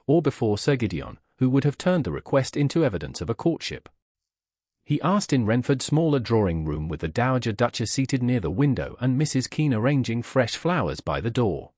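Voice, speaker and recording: A man reading historical romance fiction as a measured, formal audiobook narrator. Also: mid-range voice, a little mellow, no echo, studio quality.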